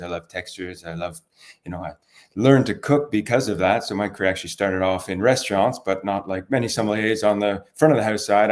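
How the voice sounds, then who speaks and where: A Canadian man speaks in a fairly low voice. He is affable and conversational, like a guest in an interview. He tells things as relaxed stories, at an easy, sociable register. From Toronto, Canada. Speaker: interview guest, wine expert.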